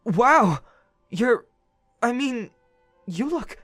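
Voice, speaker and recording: A woman's voice: a little high, lively intonation, drawn-out words, fairly bright tone, no room sound, soft background music, full character voice. A woman playing a shy, earnest young prince in an audio drama, with a hesitant manner. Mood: awestruck, flustered.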